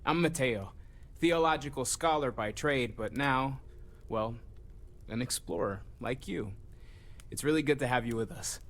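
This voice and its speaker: An actor playing a kind, earnest scholar turned space explorer in a video game, speaking in a gentle, conversational way. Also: male voice, mid-range voice, measured pace. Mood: caring. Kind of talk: video game character dialogue.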